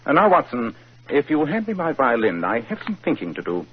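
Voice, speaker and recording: An actor playing a cool, brilliant male detective in a 1940s radio drama, with crisp, clipped questions. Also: medium pitch, wildly swooping pitch, a little fast. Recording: muffled 1940s radio broadcast.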